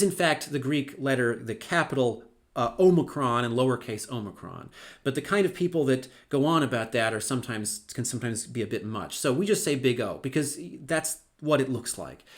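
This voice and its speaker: A middle-aged man lecturing at a mid pitch for a man, in an easy conversational tone. He drops in dry, wry asides and often stops to restart a phrase with a filler. The delivery is relaxed and thinks aloud. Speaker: university lecturer. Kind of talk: recorded lecture.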